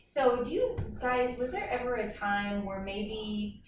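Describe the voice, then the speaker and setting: A woman's voice: a little high, animated, slow pace. A woman teacher leading an interactive read-aloud for young children, asking lots of questions and prompting them. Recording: very echoey room, far mic, loud noise.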